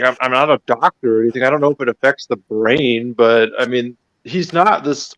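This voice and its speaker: A man's voice: mid-range voice, a bit bright, dull audio. A man with a mid-range voice in a dry, echo-free recording. He chats casually as a livestream panelist, colloquial and somewhat tentative in how he puts his opinions.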